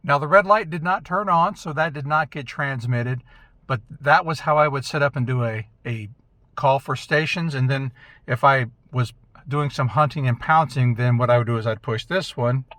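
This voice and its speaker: Male vlogger, conversational and easygoing, medium pitch, demonstrating as he talks, close dry in-car recording. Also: moderate pace, no echo. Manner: relaxed, conversational, demonstrating as talking. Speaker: vlogger, amateur radio operator. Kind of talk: casual explanatory chat to camera. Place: inside a parked car outdoors. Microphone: small camera mic in the car.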